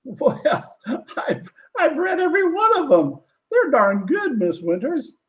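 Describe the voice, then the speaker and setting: A man's voice: very high voice, wildly swooping pitch, unhurried, full character voice. A man playing a plain-spoken police detective sergeant in an old-time radio drama, with a hesitant, folksy, polite manner. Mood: admiring, bashful.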